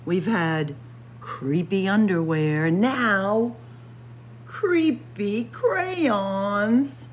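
Librarian, woman, slow and theatrical read-aloud, wide-ranging lowish voice, faint hum behind. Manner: dramatic, expressive storytelling with spooky whispers. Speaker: children's librarian. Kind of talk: picture book read-aloud. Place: library with bookshelves.

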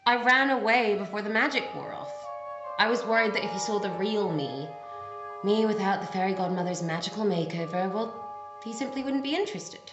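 A woman's voice: medium pitch, a little fast, highs cut off, lightly acted. A young woman playing a sweet, naive servant girl, earnest and innocent, in a comic pantomime. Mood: hopeful. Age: young adult. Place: indoor room with brick wall, video call. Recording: roomy video call, music behind.

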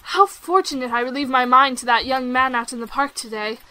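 High, bright, headstrong young girl, played by a woman. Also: a little fast. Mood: excited, dramatic. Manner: candid, theatrical, emphatic. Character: outspoken, headstrong young society girl, candid chatterbox. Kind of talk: period romantic audio drama acting.

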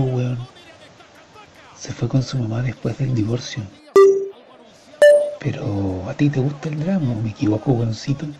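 A man's voice: medium pitch, mellow, a little hiss or hum, close to an everyday voice. A man with a Chilean accent playing a conflicted divorced father in a colloquial, confessional radio drama. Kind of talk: radio drama monologue acting. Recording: slightly dull, lo-fi sound.

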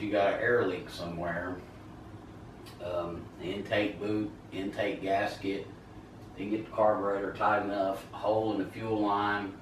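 Repair instructor, loud over machinery, picked up by a distant camera in a very echoey garage. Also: male voice, lowish voice, slight background noise. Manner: loud over running chainsaw, talks while working. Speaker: small-engine repair instructor. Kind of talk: hands-on repair tutorial. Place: large garage with concrete floor.

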